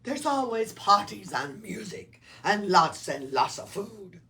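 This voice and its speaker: A woman voicing a gruff, hearty Nutcracker ornament with a Russian accent for a storybook, recorded at a distance. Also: medium pitch, big pitch swings, slow pace, bright, forceful tone, exaggerated voice. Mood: jovial. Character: gruff, jolly, proud Nutcracker ornament, big man. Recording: distant mic in noisy room.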